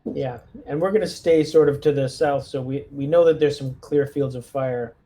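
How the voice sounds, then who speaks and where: A man with a medium-pitched, mellow voice takes part in a tabletop roleplaying game. He talks casually and easily, pausing to work out what his character does.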